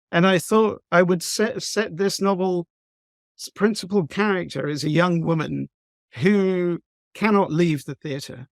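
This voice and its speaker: A man from the east of England with an English accent, a novelist talking thoughtfully in an interview, pausing with frequent ums and restarts. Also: a little high, dry recording, clean studio sound. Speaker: guest novelist. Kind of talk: conversational interview.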